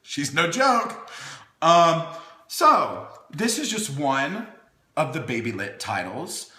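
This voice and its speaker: A man's voice: on the high side, big pitch swings, slow, sharp tone. A man hosting a playful storytime, reading a children's book with wry, chatty asides in a theatrical way, as if talking to small children. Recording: echoey room.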